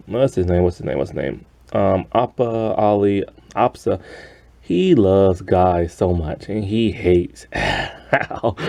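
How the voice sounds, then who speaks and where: A man with a low-pitched, relaxed voice talks through a review of an animated show. His delivery is casual and rambling, like someone chatting about something that amuses him.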